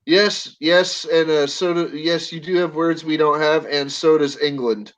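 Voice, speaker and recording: An American man hosting a live stream chat, excitable and laughing, often stumbling over restarts. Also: on the high side, measured pace. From United States. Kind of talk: casual chat.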